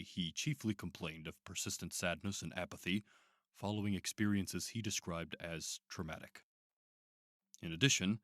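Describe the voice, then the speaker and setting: A clinical, detached institute director for a radio play. His voice is deep and closely recorded with little room sound. He delivers case notes in a formal, measured manner. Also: fairly bright tone, no room sound, studio quality. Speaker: doctor and chief executive of a clinic. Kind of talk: radio drama acting, dictated case notes.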